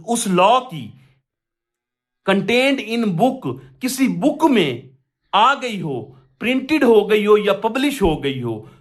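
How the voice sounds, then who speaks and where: A man with a Pakistani accent giving an explanatory law lecture in a didactic way, posing questions and answering them himself. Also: sits high. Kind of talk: explanatory exam lecture, mixing Urdu with English legal text.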